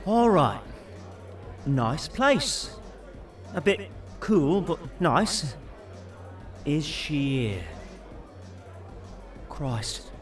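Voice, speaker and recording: A high, animated young man's inner voice, nervous and self-berating, with an unhurried delivery. It is sarcastic, sweary audio drama comedy, heard a little back from the mic. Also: far from the mic.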